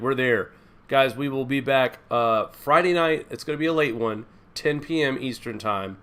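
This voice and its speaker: A man's voice: mid-range voice, fairly bright tone. A man hosting a live news stream, talking viewers through a weather forecast in a folksy way. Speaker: host.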